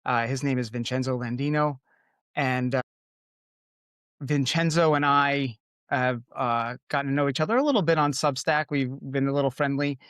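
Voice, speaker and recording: A man's voice: medium pitch, moderate pace, a bit bright, clear, resonant tone, no echo. A man from Connecticut hosts a podcast in a loose, chatty way, circling back with plenty of "uh" and "like" as he goes. His voice sits in a middle range and sounds close and dry, with no room around it. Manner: rambling, many fillers and restarts. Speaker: podcast host. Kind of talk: casual interview chat.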